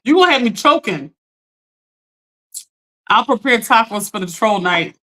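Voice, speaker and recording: A woman's voice: a little slow, low-quality recording. Casual woman hosting a live chat, voice still recovering. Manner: chatty, talks straight to chat, animated pitch jumps. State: recovering from lost voice. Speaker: livestream host.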